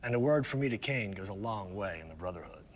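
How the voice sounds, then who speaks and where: An actor playing an arrogant, menacing cult lieutenant who briefs a recruit in a video game, condescending and deliberate. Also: male voice, lowish voice, lively intonation, a little hiss or hum, lightly acted. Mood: scheming. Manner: theatrical, condescending, deliberate pauses. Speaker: military commander giving missions.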